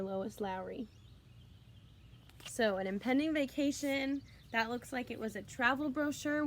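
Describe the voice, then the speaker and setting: A woman teacher giving a read-aloud lesson for children, expressive and encouraging, prompting listeners with questions. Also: on the high side, slight background noise.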